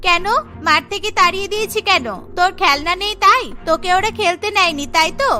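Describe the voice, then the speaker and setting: A woman's voice: very high-pitched, fairly quick, bright, forceful tone, faint music behind. A woman voicing a bold, protective young girl, a bossy but caring big sister in a cartoon. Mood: indignant, protective. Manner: feisty, scolding, protective. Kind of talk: cartoon dialogue acting.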